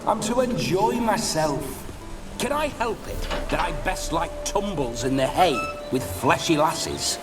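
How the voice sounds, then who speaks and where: A man's voice: fairly high pitch, lively intonation, measured pace. An actor playing the boisterous, lecherous ghost of a dead nobleman in a video game, speaking in a swaggering, theatrical, archaic lordly way. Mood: teasing, lecherous. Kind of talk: video game character acting. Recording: music behind the voice.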